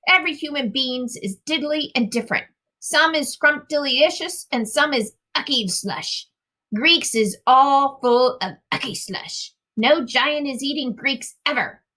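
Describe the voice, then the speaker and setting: Storybook giant, played by a woman: boastful, booming man-eater, bright forceful tone, high-pitched, folksy broken grammar. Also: unhurried. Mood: relishing. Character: huge boastful man-eating male giant, muddled grammar.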